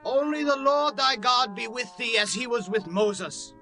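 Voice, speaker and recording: An actor playing a devout, obedient Israelite follower, declaiming in a dramatized scripture reading. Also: male voice, very high-pitched, a little slow, bright, forceful tone, soft background music, full character voice. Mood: devout, earnest.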